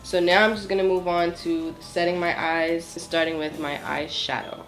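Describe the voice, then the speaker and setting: A woman's voice: on the low side, little pitch movement, normal speed, faint music behind, rough recording. A woman in her twenties with a nasal voice from a cold, chatting through a makeup tutorial in a small home studio, apologetic and full of ums and likes. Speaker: beauty vlogger. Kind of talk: casual makeup tutorial chat.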